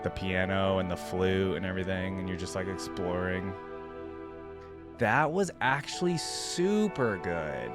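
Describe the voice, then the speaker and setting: A man's voice: deep voice, expressive, a little slow, over music. A man hosting a reaction video, giving his opinions in casual, conversational commentary.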